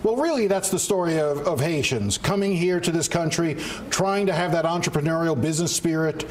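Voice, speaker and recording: A man's voice: fairly high pitch, fairly quick, a little mellow. A man hosting a TV studio interview, asking direct, probing questions. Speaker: TV host, interviewer.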